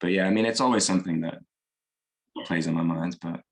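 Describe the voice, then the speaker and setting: A man in his thirties with a slightly hoarse voice, reflecting at an easy pace in a casual group discussion, with many fillers. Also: low-pitched, fairly quick. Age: 30s. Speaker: discussion participant.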